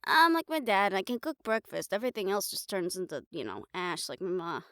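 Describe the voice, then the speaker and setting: A woman playing a caring, blunt, teasing young girlfriend in an improvised audio roleplay, chatting casually and haltingly to the listener. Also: mid-range voice, lively intonation, a bit bright, dry recording, studio quality, close to an everyday voice. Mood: playful, blunt. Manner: casual, halting, playful swearing, affectionate teasing.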